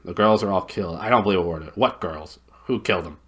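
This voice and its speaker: A man's voice: low voice, fast talker. Man doing a cranky deaf old dwarf. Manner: gruff, irritable.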